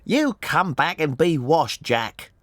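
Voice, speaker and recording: A man's voice: fairly high pitch, very animated, unhurried, no room sound, clean studio sound, pitched above the performer's own voice, exaggerated voice. A man reading an audiobook, voicing an anxious, talkative working-class married woman who speaks colloquially and deferentially. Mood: scolding. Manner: chatty, colloquial, deferential, saying sir often. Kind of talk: audiobook character voice.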